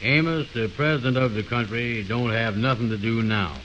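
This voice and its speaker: A man's voice: mid-range voice, moderate pace, fairly bright tone, highs cut off, slight background noise, natural voice. A man playing a blustering, muddle-headed know-it-all in a 1920s radio comedy, with pompous, folksy, ungrammatical talk on an old recording. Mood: exasperated.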